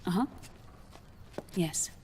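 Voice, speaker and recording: An actress playing a secretive, composed woman scientist in a video game, speaking in hushed, measured, short replies. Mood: discreet, businesslike. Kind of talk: video game cutscene acting. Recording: quiet voice under clear ambient noise.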